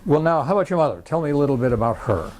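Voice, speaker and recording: A man conducting an oral history interview, asking questions gently and offering prompts. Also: on the high side, expressive, racing, rough recording.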